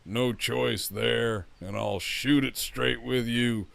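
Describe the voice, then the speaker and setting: A deeply gravelly male villain, acted by a man for an audio drama, close and dry. He is a swaggering gang leader who drawls slowly, taunting and crude, enjoying his own menace. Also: steady tone, fairly bright tone, no room sound, exaggerated voice. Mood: smug, controlling. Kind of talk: full-cast fan audio drama acting.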